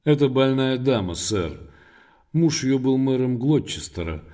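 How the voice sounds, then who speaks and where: An actor playing a polite, helpful hotel clerk in an audio drama, speaking with assured deference. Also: male voice, medium pitch, animated, unhurried, dark tone, lightly acted. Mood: obliging, assured.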